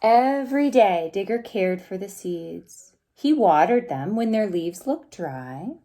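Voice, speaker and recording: A woman reading a picture book aloud to young children in an expressive, gentle way, pausing to ask them questions. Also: mid-range voice. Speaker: preschool story time reader.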